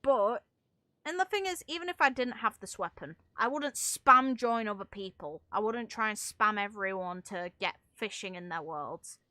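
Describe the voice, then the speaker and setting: A woman's voice: mid-range voice, expressive, normal speed, bright, forceful tone, no room sound, clean studio sound. A woman streaming a game in casual, rambling commentary, talking in a blunt, exasperated, sweary way. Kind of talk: casual ranting gaming commentary.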